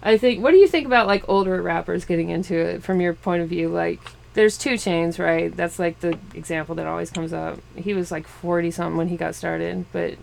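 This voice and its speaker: Female interviewer, forties, low gravelly voice, chatty with frequent laughter. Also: fairly quick, hardly any pauses. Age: 40s. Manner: chatty, laughs often, trailing fillers. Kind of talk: casual interview chat. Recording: faint background noise.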